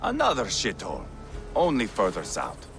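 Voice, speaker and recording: An actor playing a vicious, foul-mouthed Viking war leader with a taunting, sardonic delivery in a game cutscene. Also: male voice, fairly low pitch, wildly swooping pitch, moderate pace, vocal fry, over music, heavily acted. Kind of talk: video game cutscene acting. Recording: noisy ambience behind.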